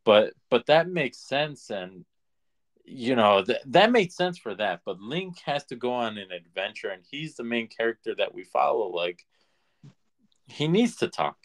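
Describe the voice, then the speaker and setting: A man co-hosting a podcast, giving firm opinions in casual, halting talk as he thinks aloud. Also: medium pitch, very animated. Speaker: podcast co-host.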